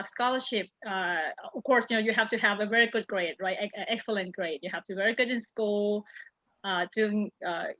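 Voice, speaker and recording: A woman host asking questions haltingly, with many "uh" and "you know" fillers. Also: a little high, bright, forceful tone, dry recording. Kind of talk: interview questions. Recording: dull lo-fi sound.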